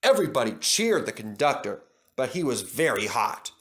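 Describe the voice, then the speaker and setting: Man narrating with very lively intonation. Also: mid-range voice, normal speed. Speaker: storyteller. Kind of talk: scripted children's story narration.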